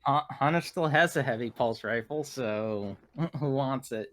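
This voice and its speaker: A man's voice: mid-range voice, a little slow, fairly bright tone. A man talking casually as a player in a tabletop roleplaying game.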